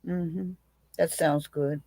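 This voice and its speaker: A woman's voice: sits low, fairly even intonation, slow, mellow. A woman, a witty, blunt guest telling stories in a casual video chat, often restarting her sentences. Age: 80s. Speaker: guest, aunt.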